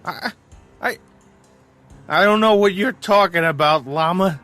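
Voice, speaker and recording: A man's voice: sits high, measured pace, bright, forceful tone, soft background music. A man acting as a hapless, hard-drinking small-time crook in an audio drama, nervous, wheedling and self-pitying. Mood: nervous, evasive.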